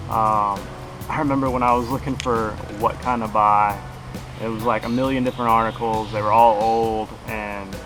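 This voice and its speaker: Casual man reviewing gear outdoors. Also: fairly low pitch. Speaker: kayak fishing vlogger, product reviewer. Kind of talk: casual unscripted product review to camera. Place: outdoors in a grassy backyard beside a shed. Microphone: camera a couple of metres away.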